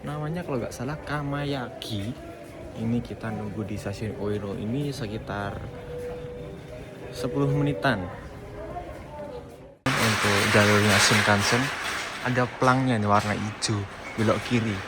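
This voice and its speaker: A man's voice: on the low side, measured pace, pauses often, heavy background noise. A man chatting casually to his viewers as a travel vlogger, talking from train stations and platforms. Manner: chatty, addresses viewers as friends. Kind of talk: casual travel vlog narration.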